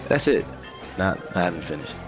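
A man's voice: low-pitched, sing-song, a little fast, music in the background. An actor playing a mild, reassuring male partner with a slightly hesitant manner in a naturalistic audio drama. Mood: bashful. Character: gentle, supportive male partner, bashful aspiring writer.

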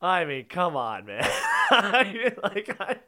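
High-voiced, animated, exasperated man. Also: slow pace. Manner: mock-exasperated, insistent. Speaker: podcast co-host. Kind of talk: comedic banter, song analysis.

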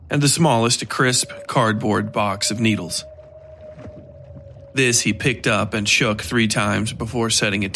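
Male dark-fiction narrator over watery ambience. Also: on the low side, gravelly, a little hiss or hum.

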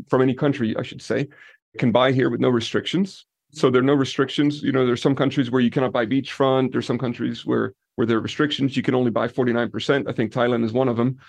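A man from Denmark talks through the practical side of renting out and managing property. His voice has a gravelly edge, and the sound is dry and close, with no room echo. He lays out points calmly and backs them with examples. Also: medium pitch. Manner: relaxed, practical, explains with examples. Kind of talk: podcast interview.

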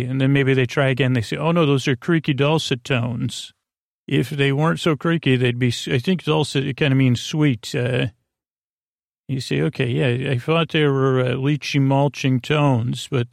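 Creaky, rambling American man. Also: medium pitch, dry recording, clean studio sound. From United States. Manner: meandering, hesitant, repeats and trails into tangents. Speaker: sleep podcast host. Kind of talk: rambling, soothing bedtime podcast monologue.

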